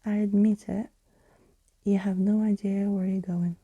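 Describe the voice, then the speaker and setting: A woman's voice: mid-range voice, flat delivery, measured pace, dry recording, phone-quality sound. A woman voicing a grumpy, sceptical, sarcastic girl in a whispered storybook reading. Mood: grumbling, scornful. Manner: complaining, sarcastic. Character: Daisy, grumpy sceptical sharp-tongued girl.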